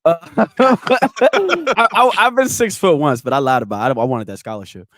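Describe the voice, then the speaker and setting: A man's voice: on the high side, lively intonation, normal speed, a bit bright, no echo, clean studio sound. A man in his thirties hosting a casual sports podcast over a video call, joking and laughing as he talks. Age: 30s. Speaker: podcast host.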